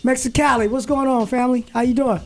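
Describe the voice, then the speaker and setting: A man hosting a talk show, taking calls in relaxed street slang. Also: very high-pitched, fast talker. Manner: laid-back, street slang. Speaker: show host.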